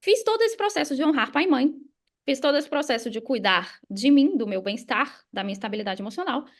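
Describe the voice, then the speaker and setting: A Brazilian woman telling a personal story casually as a podcast guest, animated and informal, often checking in with listeners. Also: sits high, fast. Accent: Brazilian Portuguese.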